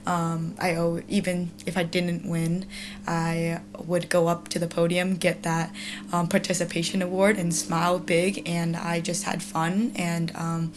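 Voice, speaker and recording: A woman's voice: fairly low pitch, completely flat delivery, measured pace, a little hiss or hum. A young woman in her twenties, a student athlete whose first language is Mandarin, answering interview questions with many hesitant ums. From China. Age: 20s.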